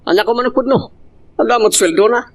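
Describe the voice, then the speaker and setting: A man's voice: extremely high pitch, quick pace. A man playing a defensive, resentful young husband and father in an audio drama, curt and sullen. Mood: defensive, irritated. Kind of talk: Ilocano radio-style drama acting.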